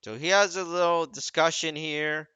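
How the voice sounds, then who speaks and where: A man's voice: a little high, moderate pace, pushed and bright, clean tone. A man giving casual, off-the-cuff commentary over a video game.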